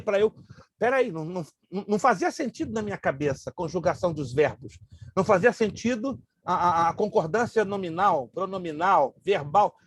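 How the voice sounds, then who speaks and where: A man whose first language is Hebrew, a pastor teaching a Bible class, emphatic and joking, slowly repeating grammar terms. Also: sits high, slightly rough.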